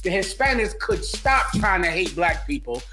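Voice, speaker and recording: A man's voice: high-pitched, very animated, moderate pace, sharp tone, faint music behind. A man co-hosting a casual commentary chat, speaking in a preachy, sermon-like way. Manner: sermon-like, religious talk.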